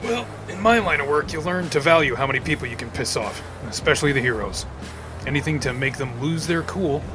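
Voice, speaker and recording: A man's voice: on the high side, lively intonation, fairly quick, over music, natural voice. A man playing a cool, sardonic male supervillain in an audio drama, with a dry, joking delivery. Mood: wry. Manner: dry, wisecracking.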